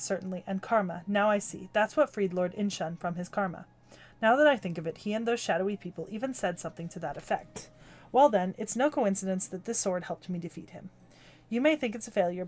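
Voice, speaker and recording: A woman's voice: mid-range voice, a little mellow, natural voice. Woman playing a bubbly young swordswoman. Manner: bubbly, chatty delivery. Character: cheerful young woman swordswoman, eager and hungry. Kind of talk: reading a game's story aloud on stream.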